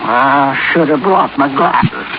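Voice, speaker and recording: An actress playing a crabby, boozy, slow-witted older landlady who grumbles in slang, in an old-time radio detective drama. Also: very deep voice. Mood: grumbling, suspicious. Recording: noisy, muffled old radio recording.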